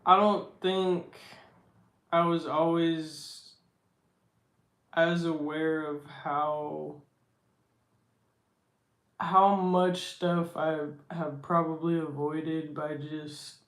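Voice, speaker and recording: A young man with a baritone voice, chatting slowly and reflectively on his livestream. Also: fairly even intonation. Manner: slow, reflective and soft-spoken. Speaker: livestream host. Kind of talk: casual livestream chat reading comments. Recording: roomy echo.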